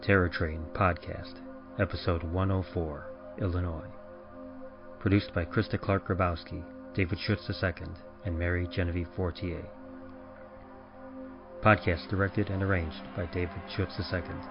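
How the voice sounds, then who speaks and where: A man, the podcast's host and narrator, reading production credits in a measured, even announcer style. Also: low-pitched. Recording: dull lo-fi with music behind.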